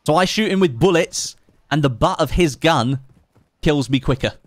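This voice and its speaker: A man's voice: a little high, expressive, moderate pace, dry recording. Chatty man streaming a game. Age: late 20s. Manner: chatty, reads chat aloud, sarcastic asides. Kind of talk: casual live stream commentary.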